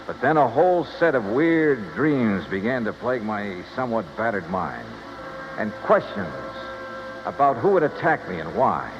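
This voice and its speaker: A man's voice: medium pitch, natural voice. An actor playing a tough, dogged male investigator with clipped, slangy talk in a 1950s radio detective drama. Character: tough, dogged male insurance investigator narrating his case. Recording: muffled, noisy old radio recording.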